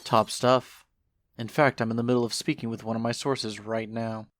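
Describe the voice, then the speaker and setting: A man's voice: mid-range voice, level tone, moderate pace, no room sound, studio quality, lightly acted. A man playing a sly, laid-back skeleton hustler with a casual, unbothered way of talking in a fan audio drama.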